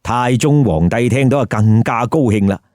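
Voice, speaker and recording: A man's voice: mid-range voice, normal speed, darkish tone, dry recording, studio quality. A man telling a comic story in a theatrical, emphatic way with rhetorical questions. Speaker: storyteller, host. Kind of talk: lively comic Cantonese storytelling.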